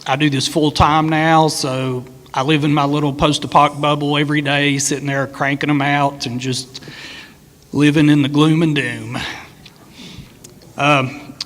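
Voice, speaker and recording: Male panel moderator, somewhat high and bright, easygoing and wry, in a reverberant hall. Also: unhurried. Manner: relaxed, joking, with frequent fillers. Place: conference panel room. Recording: echoey hall with long reverb.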